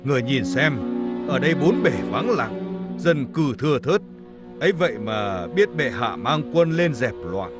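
A man playing a loyal, forceful army general in a historical cartoon, with formal, declamatory speech. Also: medium pitch, very animated, a little slow, over loud music. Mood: respectful.

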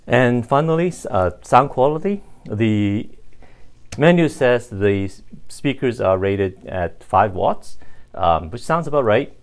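A man giving a casual, unscripted hands-on product review in a halting, think-aloud manner. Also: mid-range voice, lively intonation.

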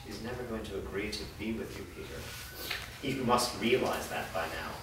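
Man playing a low-voiced, guarded old blacksmith. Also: moderate pace, lightly acted. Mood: defiant. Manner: defiant, guarded, plainspoken. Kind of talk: staged reading of a fantasy drama. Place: indoor performance room before a small audience. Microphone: far camera. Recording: echoey hall, distant mic, noisy.

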